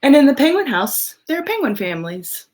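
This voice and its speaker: A woman reading a picture book aloud to children in an expressive storytelling way, with casual, chatty asides. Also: high voice, brisk.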